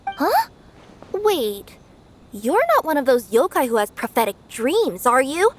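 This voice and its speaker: High, lively young courier girl. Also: unhurried, a little hiss or hum, heavily acted. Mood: startled, curious. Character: young cat yokai delivery girl, earnest and easily startled. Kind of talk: animated video game voice acting.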